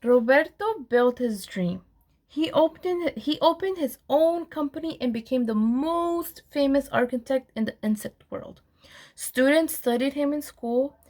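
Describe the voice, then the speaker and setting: A woman reading a children's storybook aloud in an expressive storyteller's manner, with deliberate pauses. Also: sits high. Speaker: program administrator reading aloud.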